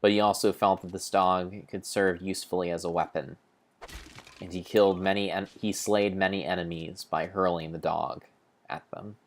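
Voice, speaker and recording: Deep-voiced man, grandiose dramatic narrator. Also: flat delivery. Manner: grandiose, theatrical, with pauses and self-corrections. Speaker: narrator of a video game playthrough. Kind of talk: mock-epic dramatic storytelling, improvised.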